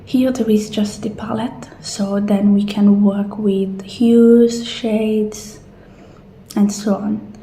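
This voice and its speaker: A woman doing a soft, partly whispered ASMR roleplay as a gentle attendant walking a client through options. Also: medium pitch, fairly even intonation, takes it slowly. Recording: roomy echo, faint background noise.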